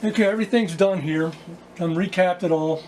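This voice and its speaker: Halting man, higher-pitched, over loud noise. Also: rough recording. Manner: halting, pausing mid-sentence. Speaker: vlogger repairing vintage radios. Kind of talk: casual hands-on repair walkthrough.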